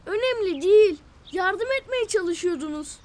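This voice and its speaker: A woman voicing a small, kind-hearted, eager young cartoon animal in an expressive, childlike way for a children's show. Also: very high-pitched, normal speed. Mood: earnest. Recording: music behind the voice. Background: cartoon music.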